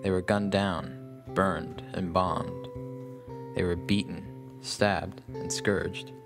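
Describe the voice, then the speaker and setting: A man reading a scripted first-person horror story in a measured, grave manner. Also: deep voice, little pitch movement. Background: plucked guitar music.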